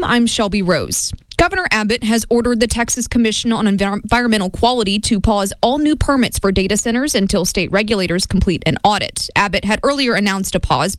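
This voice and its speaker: Woman radio news anchor, formal read, mid pitch, dry mic. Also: no echo. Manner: steady, formal news reading. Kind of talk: newscast.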